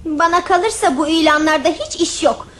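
A woman's voice: extremely high pitch, normal speed, a bit bright. A woman in a radio play voicing a stubborn little girl who is the youngest of several siblings.

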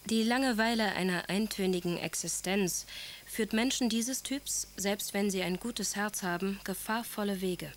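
A woman's voice: medium pitch, moderate pace, a little hiss or hum, lightly acted. Actress as a composed, wounded young noblewoman. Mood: earnest, reflective. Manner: composed, literary, confessional. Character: wounded, devoted young aristocratic English wife, 1815. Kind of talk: German radio drama monologue, memoir-like recollection.

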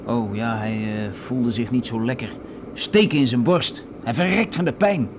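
A man's voice: medium pitch, normal speed, background noise, close to an everyday voice. An actor playing a cocky, pushy young man in a radio play, slangy and insinuating, with some hesitation. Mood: feigned casualness. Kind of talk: Dutch radio drama acting.

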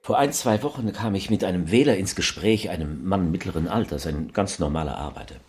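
An actor playing a grave, solemnly persuasive politician who speaks in deliberate, declamatory phrases in a radio collage. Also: male voice, sits low, darkish tone, natural voice. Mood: grave, defiant. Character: male politician orator, grave, self-justifying, solemnly persuasive.

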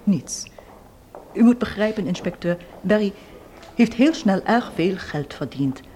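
An actress playing a cool, guarded estranged wife in a Dutch radio play, polite but reserved. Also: female voice, medium pitch, expressive, unhurried, mellow, slight background noise, natural voice.

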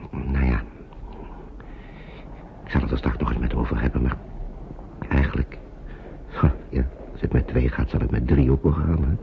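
An actor playing a worried, kindly uncle who hesitates and repeats himself in an old radio play. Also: male voice, a bass voice, vocal fry, full character voice. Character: worried kindly man, an uncle minding children. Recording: dull noisy old radio recording.

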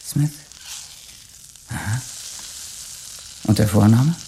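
An actor playing a cocky, penniless drifter and mechanic with a casual, cheeky way of talking in a radio drama. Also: male voice, lowish voice, extremely slow, mellow, slight background noise. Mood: flirting, brash. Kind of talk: naturalistic radio drama dialogue. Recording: faint kitchen sizzle and ambience.

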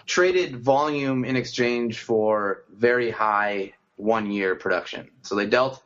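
A man co-hosts a casual sports podcast at an ordinary pitch, thinking aloud with fillers and restarts. His voice comes through slightly muffled, with a dull lo-fi quality. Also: takes it slowly, a bit bright. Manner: conversational, frequent fillers and restarts. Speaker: podcast co-host.

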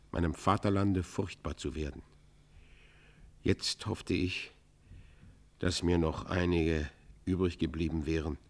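An actor playing a proud, remorseful robber pleading for mercy in a radio play, with formal, declamatory delivery. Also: male voice, low-pitched, gravelly, full character voice. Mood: defiant remorse. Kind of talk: German radio play, classical literary acting.

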